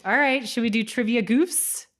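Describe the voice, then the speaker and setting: A woman's voice: fairly high pitch, normal speed. A woman co-hosting a podcast in a casual, conversational style. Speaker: podcast co-host. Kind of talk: casual chat with some reading aloud.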